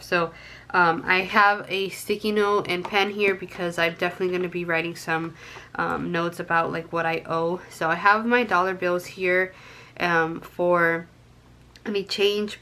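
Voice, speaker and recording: A woman's voice: on the low side, pushed and bright. Young woman, stuffy from a cold, chatty with lots of ums, slight hiss in the background. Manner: chatty, counting cash aloud, many ums. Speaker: budgeting vlogger. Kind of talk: casual chat to camera.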